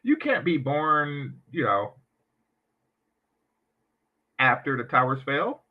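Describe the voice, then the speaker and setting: Sarcastic guy ranting over a clip, high voice bouncing all over, kinda muffled lo-fi sound. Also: takes it slowly. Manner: animated, sarcastic, mocking asides. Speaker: commentary channel host. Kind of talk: reaction commentary on a clip.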